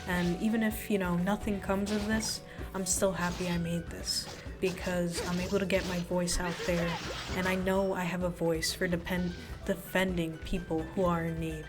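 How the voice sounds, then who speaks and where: A woman's voice: fairly low pitch, level tone, normal speed. A woman hosting her own channel, talking casually and sincerely to her viewers in a personal way. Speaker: video creator and channel host. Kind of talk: casual, earnest talk to viewers over gameplay. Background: music.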